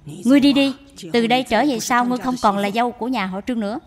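A woman's voice: fairly high pitch, very fast, crowd noise, natural voice. A woman voicing a bitter old mother-in-law in a cartoon dub, harsh and suspicious.

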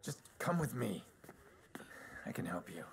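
An actor playing a guarded, terse vigilante in a video game, with clipped, deadpan delivery. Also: male voice, unhurried, creaky, crowd noise, full character voice. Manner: clipped, deadpan, low-key. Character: guarded, terse male vigilante under an alias. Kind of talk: video game cutscene acting.

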